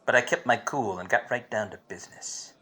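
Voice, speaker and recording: Man acting a bright-voiced, wise-cracking radio detective. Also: fairly low pitch, a little fast, slightly rough. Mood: dry, cocky. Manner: wry, self-important noir narration. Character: wise-cracking, obtuse hard-boiled male private detective.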